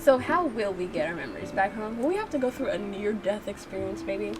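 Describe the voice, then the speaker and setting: A woman's voice: mid-range voice, expressive, fairly quick. A woman hosting a game playthrough, narrating it and adding playful, slangy, casual reactions. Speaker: gaming channel host. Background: background music.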